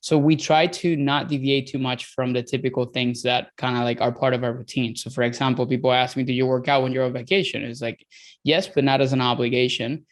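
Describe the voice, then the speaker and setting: A man's voice: medium pitch, little pitch movement, brisk. A man from Venezuela in his thirties with a Venezuelan accent, a dietitian guest talking casually in an interview, rambling with many fillers and restarts.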